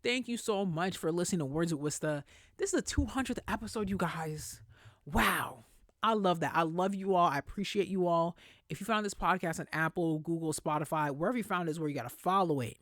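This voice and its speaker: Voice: mid-range voice, fairly quick, a bit bright, no echo, studio quality. A podcast host giving casual, opinionated celebrity commentary in a conversational, emphatic way with plenty of slang.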